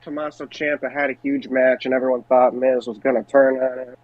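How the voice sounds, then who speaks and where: A man's voice: mid-range voice, level tone, a little fast, dry recording. A man co-hosting a podcast, chatting casually about pro wrestling on a dull, lo-fi mic. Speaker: podcast co-host.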